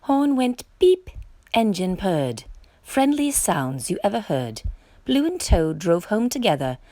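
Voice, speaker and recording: A woman reading a children's picture book aloud with expressive, theatrical delivery. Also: medium pitch, slow, no echo. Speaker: storytime reader.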